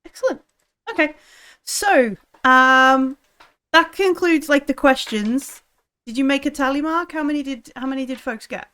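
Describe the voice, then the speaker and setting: A woman with a fairly high voice acts as game master at a tabletop roleplaying session. She talks in a casual, chatty way with the players. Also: unhurried, sharp tone.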